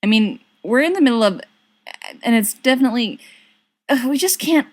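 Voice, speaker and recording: A woman's voice: on the high side, expressive, moderate pace, no echo, pitched above the performer's own voice. A woman voicing a nervous young man in an audiobook, flustered, evasive and fumbling for words. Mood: nervous, awkward. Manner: stammering, evasive.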